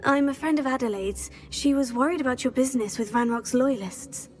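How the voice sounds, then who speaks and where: A woman voicing a calm young witch student. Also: high voice, a little fast, close to an everyday voice. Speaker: player character.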